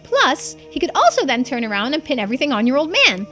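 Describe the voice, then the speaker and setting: Woman as excitable youngster, very high pitch, lively swoops, earnest, emphatic, story game dialogue. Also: fast. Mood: curious, eager. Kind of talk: voicing game dialogue in a playthrough. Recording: game music behind the voice.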